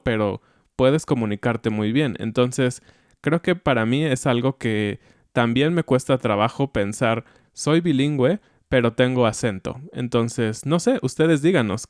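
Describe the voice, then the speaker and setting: A man with a Mexican accent co-hosting a podcast, explaining language topics in a calm, reflective way. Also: medium pitch, no room sound, clean studio sound. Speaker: podcast co-host. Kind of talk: educational podcast chat.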